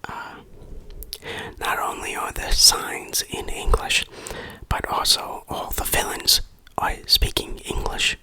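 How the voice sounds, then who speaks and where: A person whispering an ASMR game review in a breathy, unvoiced voice, deliberate and tongue-in-cheek. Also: pushed and bright.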